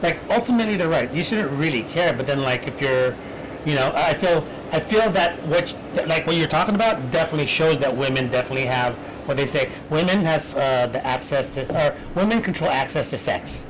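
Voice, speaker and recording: A man's voice: on the high side, lively intonation, a little fast. A man hosting a casual chat, rambling with many restarts as he works through his thoughts. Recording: clear background noise.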